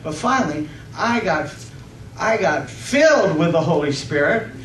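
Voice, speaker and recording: Man, middle-aged preacher, high-pitched voice, halting nervous sermon, distant mic in a church hall. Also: lively intonation, fairly bright tone. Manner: halting, nervous delivery with frequent self-corrections and amens. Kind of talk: sermon with scripture reading. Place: church hall stage, indoors. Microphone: stand microphone, picked up by far camera. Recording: roomy, distant microphone with faint noise.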